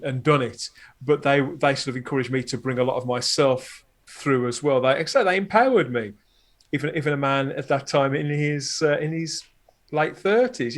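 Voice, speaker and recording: A man in his forties chatting casually as a podcast guest, jokey and rambling. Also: medium pitch.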